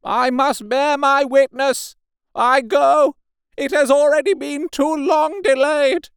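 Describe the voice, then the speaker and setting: A man's voice: very high-pitched, fairly even intonation, slow, dry recording, studio quality, voice pushed up, exaggerated voice. A man voicing a half-mad curate in an audiobook, ranting like a doomsday preacher with fervent, wailing declamation. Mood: frenzied prophetic shouting. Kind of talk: dramatic audiobook character voice.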